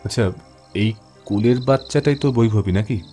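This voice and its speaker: A man acting as an intense young paranormal investigator in a horror audio play. His mid-pitched male voice is grave and deliberate, sure of itself, over faint music. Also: warm tone, close to an everyday voice. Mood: calm, probing. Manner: grave, deliberate explaining, mixes in English words.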